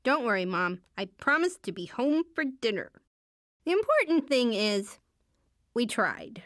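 A woman's voice: on the high side, sing-song, a little slow, fairly bright tone, no room sound. A woman playing a shy, wry teenage superhero girl in a video game, hesitant and understated, with dry earnestness. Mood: casual, mild. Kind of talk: video game character voice lines.

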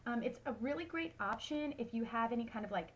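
A woman's voice: on the high side, animated, fast, slight background noise, low-quality recording. A woman in her thirties giving casual how-to tips to a webcam at home, chatty with many ums and likes. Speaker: home wellness vlogger. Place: small room at home, indoors. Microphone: webcam at close range.

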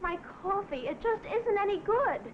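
An actress playing an anxious, eager young housewife in a 1960s TV commercial, with a sweet, plaintive manner, on a vintage soundtrack. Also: female voice, very high-pitched, lively intonation, moderate pace. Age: young adult. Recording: dull, faintly hissy vintage film soundtrack.

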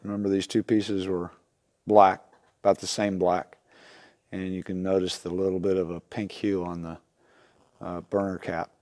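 Lower-voiced man giving a hands-on tutorial. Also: flat delivery, a little mellow. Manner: plainspoken, unhurried step-by-step explaining. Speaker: instructor demonstrating lantern repair. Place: indoor wooden workshop at a workbench.

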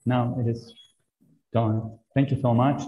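A man's voice: mid-range voice, level tone, a little slow, warm tone. A young man presenting at a conference over a video call, polite and hesitant. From Turkey. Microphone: wired earbuds on video call. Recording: muffled video call with faint noise.

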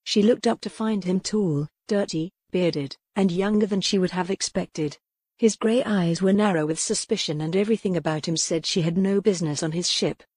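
A woman's voice: on the low side, moderate pace, a little mellow, breathy edge, no room sound. Dry-sounding female audiobook narrator. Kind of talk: scripted narration of a historical romance.

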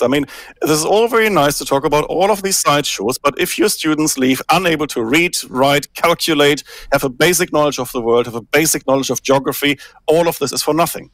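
A man's voice: on the high side, fairly bright tone, dry recording. A man in his forties from Germany, speaking earnestly and emphatically as a guest on a video call from a small room. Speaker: think tank guest. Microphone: headset on a video call.

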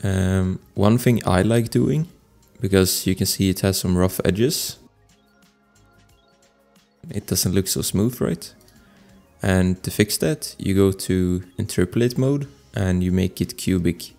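A man with a low, creaky voice narrates a casual software tutorial. He explains each step informally, close to the microphone. Faint music plays behind him. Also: takes it slowly. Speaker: tutorial presenter. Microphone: close desktop microphone voice-over.

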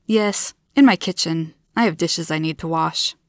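A woman's voice: sits low, a little slow, no echo, studio quality. A woman voicing a friendly, candid, easily tired woman with an earnest, emphatic manner in a storybook. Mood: candid, upbeat. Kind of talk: storybook character voice.

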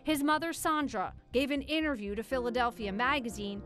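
A woman's voice: a little high, fairly quick, fairly bright tone, faint music behind. An American woman reading scripted true-crime documentary narration in a news style.

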